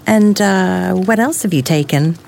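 A wry wife with creaky voice. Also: female voice, fairly low pitch, unhurried, darkish tone, a little hiss or hum. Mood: wry, questioning. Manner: wry, intimate domestic chat. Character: wry, tolerant pregnant wife of a scientist. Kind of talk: full-cast audio drama acting.

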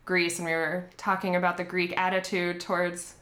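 A woman hosts a podcast, chatty and quick to laugh, her voice a little low but bright. The recording has a roomy echo around her. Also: level tone. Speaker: podcast host. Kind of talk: casual interview chat.

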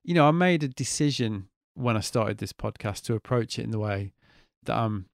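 A man hosts his podcast alone, talking in a loose, candid way and swearing often. His voice sits at a middle pitch and sounds dry and close to the mic. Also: animated, moderate pace, vocal fry, dry recording. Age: 40s. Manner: chatty, candid, swears freely. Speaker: podcast host. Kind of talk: casual solo podcast monologue.

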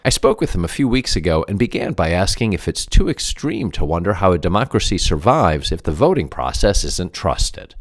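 A man's voice: deep voice, big pitch swings, a little fast, hardly any pauses. A man hosting an interview show, reading scripted introductions and putting questions to a guest. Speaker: interviewer and host.

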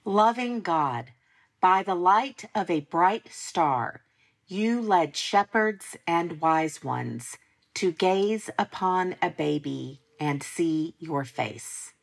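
Female prayer leader, low gravelly register, solemn and deliberate delivery. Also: expressive.

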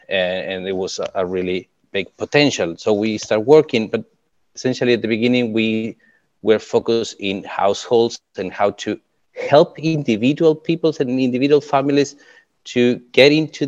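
Uruguayan man, low voice, dull dry audio. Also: moderate pace, a little mellow, dry recording. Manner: hesitant, many fillers and restarts. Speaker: climate tech startup co-founder. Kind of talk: interview answers.

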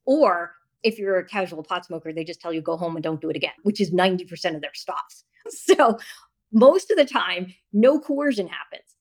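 A woman's voice: lowish voice, animated, moderate pace. A woman interview guest debating and explaining her position in an insistent, argumentative manner. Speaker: guest, author and journalist.

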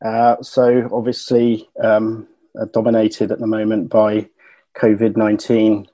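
A man's voice: lowish voice, completely flat delivery, unhurried, dark tone, no room sound. A man, a company founder, answering as a guest in a hesitant way with many ums. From United Kingdom.

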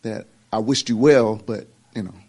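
A man chatting as a caller in a halting way, full of fillers. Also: fairly low pitch, darkish tone. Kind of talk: casual chat.

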